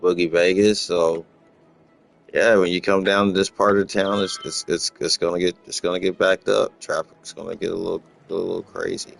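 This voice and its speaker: A man from Los Angeles, casually talking through his game in a rambling, relaxed way, often repeating words. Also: sits low, moderate pace, vocal fry, faint music behind. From Los Angeles, USA. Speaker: gaming channel host. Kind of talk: casual gameplay walkthrough commentary.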